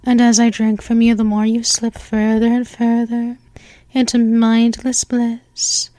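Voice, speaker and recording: Woman doing a female vampire mistress, possessive, hypnotic, soft whispers, slow. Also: on the high side, monotone, dry recording, close to an everyday voice. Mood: seductive, possessive. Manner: coaxing, repetitive, counts down. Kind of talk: ASMR hypnosis roleplay.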